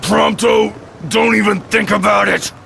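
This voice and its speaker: An actor playing a big, gruff young tough guy in a video game, bossy and teasing. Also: high voice, little pitch movement, a little slow, a bit bright, a little hiss or hum, full character voice.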